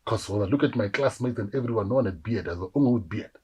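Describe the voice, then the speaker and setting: A South African man in his forties, an actor telling animated stories in a casual interview and acting out the voices of people he quotes. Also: fairly low pitch, racing, slightly rough. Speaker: actor guest.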